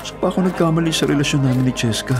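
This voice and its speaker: An actor playing a weary, devoted young Filipino delivery rider and elder brother in a radio drama, with an earnest, sighing delivery. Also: male voice, fairly high pitch, rapid-fire, natural voice. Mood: weary, troubled. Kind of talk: Tagalog radio drama acting. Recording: music bed behind the voice.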